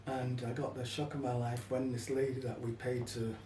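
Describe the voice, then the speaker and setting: A man's voice: medium pitch, little pitch movement, normal speed, mellow. An older man telling personal stories in an interview, in a reflective, slightly hesitant manner. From Caribbean, then England from childhood. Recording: echoey room, distant mic, faint noise.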